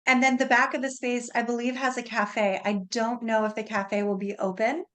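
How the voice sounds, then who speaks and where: A woman's voice: a little high, little pitch movement, moderate pace, fairly bright tone. A woman speaking as a guest in a radio interview conversation.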